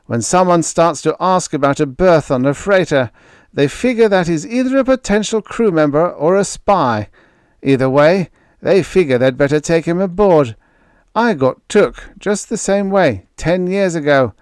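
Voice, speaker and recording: A man reading an audiobook, voicing a big, hearty spaceman in his forties with a booming, blunt, slangy way of talking. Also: animated, moderate pace, clear, resonant tone, dry recording, clean studio sound, close to an everyday voice. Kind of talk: audiobook character voice.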